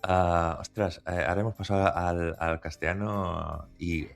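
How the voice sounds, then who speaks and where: A man's voice: low voice, steady tone, unhurried, vocal fry, faint music behind. A man hosting a conversational podcast interview, talking with many hesitations and restarts. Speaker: podcast host.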